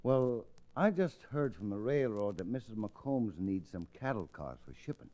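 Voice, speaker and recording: An actor playing a sly, scheming, smooth-talking cattle baron villain in an old-time radio Western. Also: male voice, on the low side, expressive, moderate pace, dark tone, lightly acted. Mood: falsely polite. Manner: scheming, falsely reasonable, gloating.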